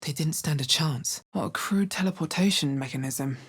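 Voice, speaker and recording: A woman playing a wary, wry female adventurer in a fantasy video game, with a bassy, husky voice and a measured, understated delivery. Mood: wary, musing. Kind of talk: video game character voice lines.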